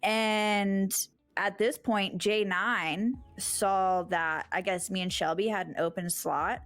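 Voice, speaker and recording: A woman's voice: mid-range voice, slow, no echo. A woman guest speaking casually and at ease, winding through her thoughts with lots of "like" and "um." The sound is dry and up close, with a faint bed of music underneath. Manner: relaxed, rambling, many fillers. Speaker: streamer guest. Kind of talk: casual interview chat.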